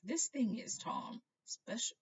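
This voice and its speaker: A woman's voice: fairly high pitch, sing-song, takes it slowly, husky, lo-fi sound, voice pushed up, heavily acted. A woman voicing a frail, kindly old male wizard headmaster in a feeble, wavering voice for a storybook reading. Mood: kindly concern.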